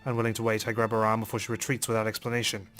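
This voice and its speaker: A man's voice: lowish voice, completely flat delivery, racing, a bit bright, faint music behind. A man hosting a let's play, reading a game's narration aloud with some drama.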